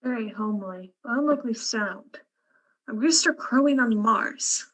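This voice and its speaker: A woman playing a fatalistic, cerebral male scientist in a science fiction radio play, speaking in a measured, wry way. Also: on the high side, natural voice, poor audio. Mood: observant.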